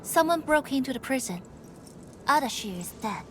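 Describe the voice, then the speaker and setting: High-pitched, bossy video game woman. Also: animated, moderate pace, slight background noise. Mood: brusque, warning. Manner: haughty, scolding, sighing. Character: brusque, bossy, secretly caring female protector.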